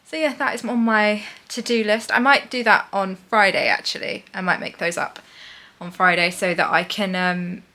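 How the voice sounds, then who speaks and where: A woman's voice: medium pitch, moderate pace, pushed and bright. A woman in her thirties, chatting casually and a little ramblingly as a knitting vlogger.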